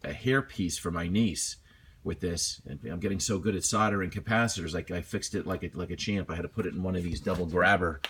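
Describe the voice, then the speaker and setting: A man's voice: sits low, a little fast, a bit bright, slight background noise. A man presenting a casual, unscripted repair walkthrough in a rambling, self-deprecating, colloquial way. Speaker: hobbyist presenter.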